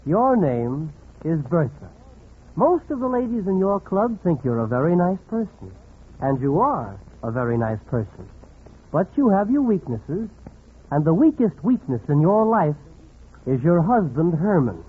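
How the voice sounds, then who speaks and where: An American actor playing a wry, friendly comic narrator who addresses the listener directly in 1940s radio comedy style. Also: male voice, a little high, very animated, a little slow. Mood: gently teasing. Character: wry friendly observer of married life. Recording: thin, muffled, noisy 1940s radio.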